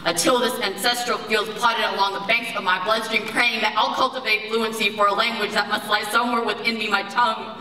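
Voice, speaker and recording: A teenage girl from the United States performing spoken word poetry on stage, with an impassioned, rhythmic delivery and pointed pauses. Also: medium pitch, steady tone, sharp tone. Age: teens. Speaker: slam poet. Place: large performance hall, on stage. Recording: echoey hall from far off, crowd noise. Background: live audience.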